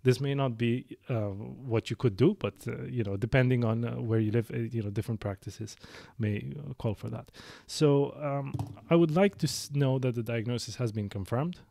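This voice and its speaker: A man in his thirties with a Romanian accent, hosting a medical podcast and explaining his clinical practice in a measured way, with frequent fillers and self-corrections. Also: medium pitch, gravelly, dark tone, no room sound. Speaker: lung specialist physician, podcast host. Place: small dark studio. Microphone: studio mic on boom arm.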